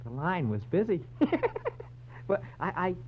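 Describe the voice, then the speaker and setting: A man's voice: sits high, sing-song, unhurried. A man playing a squirrelly, giggling barfly informant in an old radio crime drama, stammering and eager as he wheedles. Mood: sly, giggly. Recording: dull, noisy vintage radio recording with hum.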